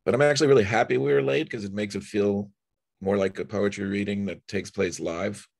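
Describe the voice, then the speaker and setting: Deep-voiced man hosting an online reading. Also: steady tone, brisk, gravelly, darkish tone. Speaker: host introducing poets. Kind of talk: informal event introduction. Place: online video call.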